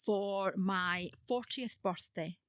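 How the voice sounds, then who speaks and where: A woman in her fifties tells her story as a podcast guest, relaxed and unhurried, often letting her sentences trail off softly. Her voice is bright and clear. The mic is close and dry, with no room sound. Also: mid-range voice, no room sound. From Scotland. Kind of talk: casual interview chat.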